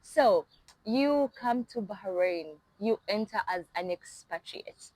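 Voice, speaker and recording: A woman's voice: medium pitch, expressive, takes it slowly, darkish tone, dry recording, rough recording. A woman hosting an informal, chatty interview, often chiming in as she listens. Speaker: host interviewer.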